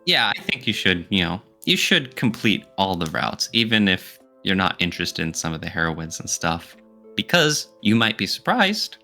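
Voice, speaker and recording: A man co-hosting a podcast, chatting casually and informally about his opinions with animated reactions. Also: low voice, moderate pace, soft background music. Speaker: podcast co-host.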